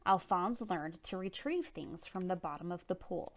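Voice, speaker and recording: A woman reading a children's picture book aloud as storytime narrator, with animated, dramatic emphasis. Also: on the low side, moderate pace, a little hiss or hum. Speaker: storytime reader.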